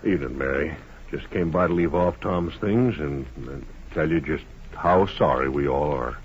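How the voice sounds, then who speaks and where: An actor playing a slow, puzzled small-town sheriff in a radio western, with a folksy, halting drawl. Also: male voice, very deep voice. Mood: somber. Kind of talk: 1950s radio western acting. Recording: dull, noisy old radio recording.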